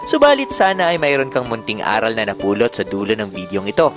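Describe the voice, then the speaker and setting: A young man calmly narrating his camping trip in a measured, poetic voice-over. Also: on the low side. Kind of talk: scripted reflective voice-over narration. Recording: voice-over with loud music behind.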